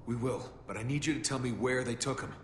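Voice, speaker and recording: A man's voice: mid-range voice, fast talker, a little hiss or hum, close to an everyday voice. A man playing a resolute, commanding special forces captain who talks tersely in a video game. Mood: pressing concern. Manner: terse, authoritative. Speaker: squad leader. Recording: echoey, music behind.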